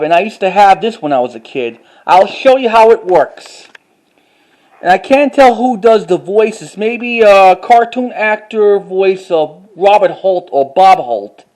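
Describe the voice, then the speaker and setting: A man with a halting, word-repeating way of talking, doing a casual show-and-tell like an enthusiastic collector. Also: high voice, a little mellow. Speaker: vlogger.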